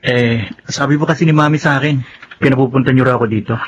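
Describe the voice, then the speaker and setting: A man's voice: medium pitch, steady tone, fast talker, lightly acted. A man playing a polite, helpful young cousin in a Filipino radio drama, with a conversational manner. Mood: respectful. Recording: muffled, noisy lo-fi sound.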